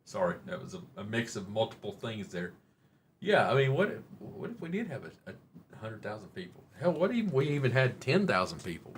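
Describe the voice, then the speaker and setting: A man's voice: medium pitch, fairly bright tone, a little hiss or hum. A middle-aged man, hosting a rambling, joking, mildly profane live-stream chat. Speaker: livestream host.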